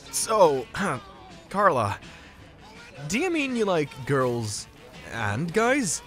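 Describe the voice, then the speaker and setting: A man playing an excitable, well-meaning 1950s teenage gang boy, earnest and awkward, in a comic dub. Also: a little high, drawn-out words, heavily acted. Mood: curious. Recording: music behind the voice.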